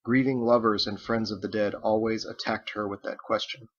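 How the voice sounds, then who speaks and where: A man's voice: on the low side, steady tone, normal speed, fairly bright tone, highs cut off. A man reading his own book as scripted audiobook narration.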